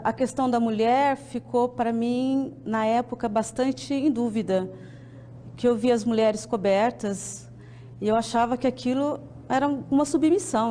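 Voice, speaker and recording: Fifties woman, thoughtful, soft high voice. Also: a little hiss or hum. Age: 50s. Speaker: interviewee, convert. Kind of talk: recorded testimony.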